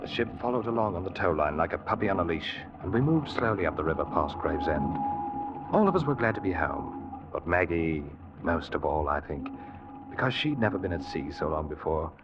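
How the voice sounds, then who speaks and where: A man's voice: low voice, a little fast, very noisy. An actor playing an eager young third mate who also tells his sea tale, in an old-time radio drama style. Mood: wistful, dramatic. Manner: expressive, dramatic storytelling. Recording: muffled old radio recording, loud music.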